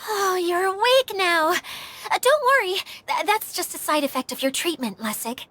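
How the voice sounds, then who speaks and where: A woman's voice: extremely high pitch, animated, normal speed, fairly bright tone. A woman voicing a cheerful, self-satisfied young caretaker, bubbly and giggly. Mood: cheery. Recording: game cutscene with music behind.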